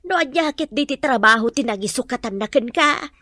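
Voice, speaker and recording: A woman's voice: high-pitched, lively intonation, a little fast, bright, forceful tone, no echo, studio quality, full character voice. A woman playing a blunt, sceptical mother who scolds and gossips in a radio drama. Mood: scolding, gossiping. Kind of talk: Ilocano radio drama acting.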